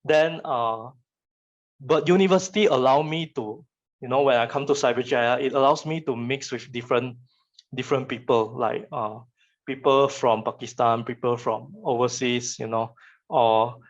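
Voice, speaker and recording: A man's voice: mid-range voice, moderate pace, lo-fi sound. A young man from Malaysia with a Malaysian English accent, Chinese his first language, giving a casual motivational talk to students and often repeating words as he restarts.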